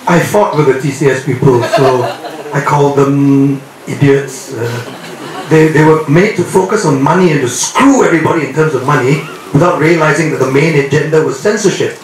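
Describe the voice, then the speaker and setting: A man's voice: on the high side, moderate pace. An older man, an actor on a panel after a screening, talking earnestly and bluntly about his views. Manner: earnest, outspoken. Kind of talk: post-screening Q&A answer. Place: screening room, seated. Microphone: handheld mic. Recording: very echoey room, distant.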